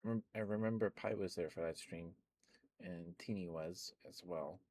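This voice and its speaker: Young guy low voice rambling soft asmr chat, dry close mic. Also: a little slow, dry recording. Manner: soft-spoken, rambling, chuckles often. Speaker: ASMR streamer.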